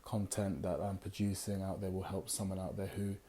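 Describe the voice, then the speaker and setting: A tired man with a slightly raspy voice hosts a casual book review, talking unhurriedly with many fillers and reading passages aloud. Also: low voice, flat delivery, brisk, dark tone. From United Kingdom. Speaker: book club host.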